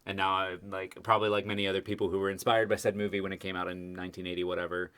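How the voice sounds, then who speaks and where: A man with a fairly low voice chats as a podcast co-host. He is earnest and opinionated, wandering through his thoughts in loose, casual talk. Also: flat delivery, very fast, a bit bright, clear, resonant tone. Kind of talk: casual group podcast chat.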